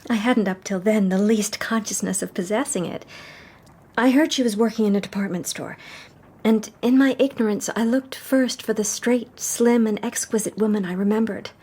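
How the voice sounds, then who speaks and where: An actress playing a wistful young society woman, telling her story in a hushed, measured radio drama style. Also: medium pitch, breathy edge, natural voice. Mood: resolute, reflective.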